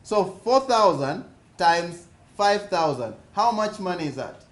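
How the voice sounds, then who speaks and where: Slow-talking Kenyan man, high voice. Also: big pitch swings, fairly bright tone. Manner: asks the audience questions, repeats points slowly. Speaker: speaker at a business forum. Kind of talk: interactive seminar talk. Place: conference hall.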